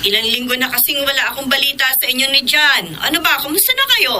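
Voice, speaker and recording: A woman's voice: sits high, lively intonation, quick pace. A Filipino actress playing a caring, inquisitive mother in a radio drama. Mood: inquisitive, concerned. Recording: noisy old radio drama recording.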